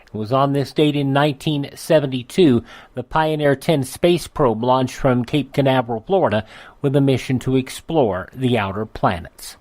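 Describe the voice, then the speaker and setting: A man with an American accent hosting a radio show, reading an on-this-day almanac with chatty asides and drawn-out names. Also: medium pitch, a little mellow, no echo. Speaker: radio host. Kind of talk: scripted radio almanac narration. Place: radio studio.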